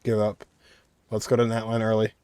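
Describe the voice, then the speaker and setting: A man's voice: fairly low pitch, monotone, fairly quick, warm tone, smooth. A man giving casual, slangy playthrough commentary, full of joking asides and mock resignation. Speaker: gaming streamer.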